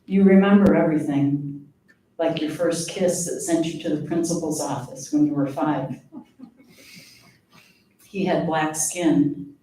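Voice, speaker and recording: Echoey, distant woman reading poetry slowly. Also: deep voice, steady tone, warm tone. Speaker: poet reading own work. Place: live reading event before an audience. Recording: very echoey room, distant mic, faint noise.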